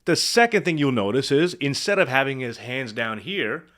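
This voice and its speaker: A man's voice: mid-range voice, expressive, normal speed, fairly bright tone. A man working as a speaking coach, commenting on a client's video and quizzing the viewer with rhetorical questions.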